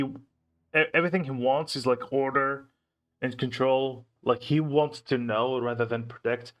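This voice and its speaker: A man hosts a casual reaction video in an ordinary mid-pitched voice. He thinks aloud, hesitating and often repeating a word before he moves on. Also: lo-fi sound.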